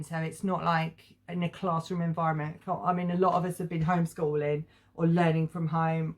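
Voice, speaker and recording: A middle-aged woman giving a casual, chatty review to viewers in an informal, rambling, conversational way. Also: on the low side. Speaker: children's book reviewer and vlogger.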